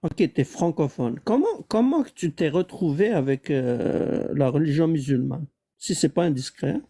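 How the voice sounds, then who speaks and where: A man's voice: on the high side, expressive, unhurried, warm tone. A man in an online debate who keeps pressing with persistent, probing questions.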